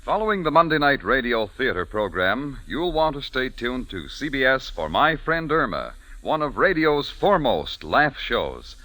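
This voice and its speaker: A man's voice: mid-range voice, sing-song, normal speed, hardly any pauses, sharp tone, no echo. A man with an American accent announcing programs in polished 1940s network radio style. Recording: vintage 1940s radio broadcast.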